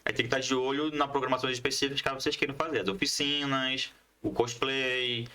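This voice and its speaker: A man's voice: medium pitch, little pitch movement, a little fast, pushed and bright. A man talking casually as an interview guest, explaining things with enthusiasm. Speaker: podcast guest, comics collective member and teacher.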